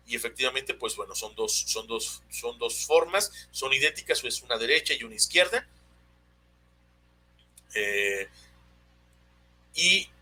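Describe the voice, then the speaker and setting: A Mexican man gives an unscripted technical lesson in a mid-pitched, very sharp and forceful voice. He speaks haltingly, often searching for the next word. The webinar audio carries a faint noisy hum behind him. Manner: hesitant, halting, searching for words. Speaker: technical instructor presenting a webinar. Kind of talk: unscripted technical explanation of engineering drawings.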